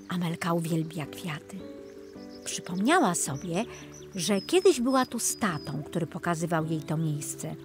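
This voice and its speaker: A woman reading a children's audiobook story as a scripted narrator. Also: fairly low pitch. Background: music.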